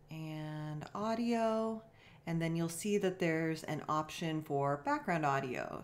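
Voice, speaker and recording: Woman, low voice, slow-paced, casual screencast narration, informal step-by-step instructor. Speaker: software tutorial presenter.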